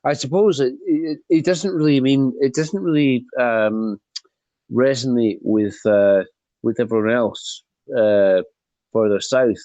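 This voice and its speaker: A man with a Scottish accent from the Highlands, talking casually in a group discussion, hesitant with many fillers and restarts. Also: takes it slowly, dark tone, no room sound, lo-fi sound.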